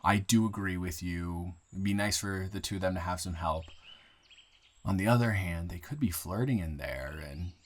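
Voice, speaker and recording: A man playing a nervous, smitten new guy in town in an improvised romantic audio roleplay, halting and playfully teasing. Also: sits low, a little hiss or hum, close to an everyday voice. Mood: flirty, hesitant.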